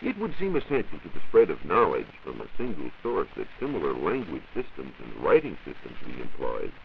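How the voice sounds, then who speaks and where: A man's voice: extremely low pitch, huge pitch swings, a little fast, creaky. A man giving formal, precise scripted explanations on a 1940s educational science radio program. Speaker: science reporter and radio panelist. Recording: dull, hissy 1940s radio recording.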